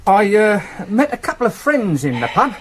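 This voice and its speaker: A loyal but wary British manservant in a period radio drama. His voice sits fairly high and moves animatedly, with an informal, wry chattiness. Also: sing-song, normal speed, slightly rough, full character voice. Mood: placating, uneasy. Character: cheeky, loyal, wary Victorian theatre dresser and manservant. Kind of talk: 1970s BBC radio drama acting.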